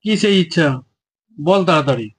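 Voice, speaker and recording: A man voicing a hungry, bullying tiger in a children's cartoon fable, husky, menacing and imperious. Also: fairly high pitch, expressive, moderate pace, dry recording. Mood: impatient threat.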